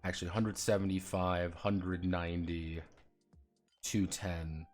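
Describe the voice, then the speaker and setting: A man's voice: sits low, flat delivery, slow, soft background music. A man streaming as he designs a tabletop game, explaining rules casually and muttering to himself while he works out the numbers. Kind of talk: casual thinking-aloud explanation.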